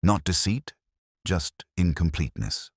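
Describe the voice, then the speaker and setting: A brooding male detective played by a man recounts a case as scripted mystery narration. The voice sits extremely low yet moves expressively in pitch, and the sound is dry and close. The phrasing is measured, literary and introspective, with a solemn manner. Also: expressive, creaky, husky, no echo, full character voice. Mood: introspective, melancholy.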